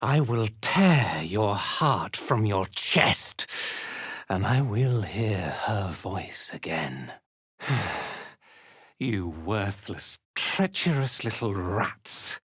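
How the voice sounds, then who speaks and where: A man playing a haughty, zealous dark elf cult commander in a video game, with an imperious, contemptuous and theatrical delivery. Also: fairly low pitch, big pitch swings, very slow, breathy edge, no room sound, clean studio sound, exaggerated voice. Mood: furious, contemptuous. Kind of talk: scripted video game character acting.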